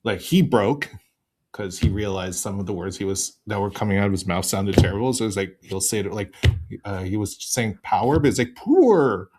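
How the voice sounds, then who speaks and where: A man with a slightly low voice talks as a guest on a podcast. He tells his stories in an animated, conversational way and slips in joking asides. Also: moderate pace, slight background noise. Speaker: podcast guest, improv voice performer. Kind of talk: casual chat.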